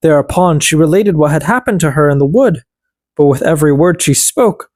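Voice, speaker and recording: An audiobook narrator tells a fairy tale in an expressive, scripted style. The pitch is medium but moves with lively variation, and the recording is dry and close. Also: fairly quick, dry recording.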